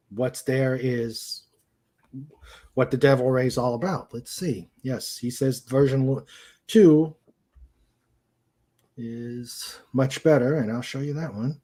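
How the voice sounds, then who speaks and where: A man chatting casually as co-host of a livestream, halting and often searching for his words. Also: medium pitch, warm tone.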